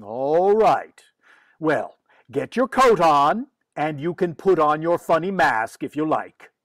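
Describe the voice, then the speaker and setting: Exasperated, fussy father, acted by a man, slow and swooping delivery, radio comedy. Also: fairly high pitch, sing-song, fairly bright tone, no room sound, studio quality, heavily acted. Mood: patient, coaxing. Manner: fussy, stammering when flustered. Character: flustered, exasperated father of a mischievous girl. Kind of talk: old-time radio comedy acting.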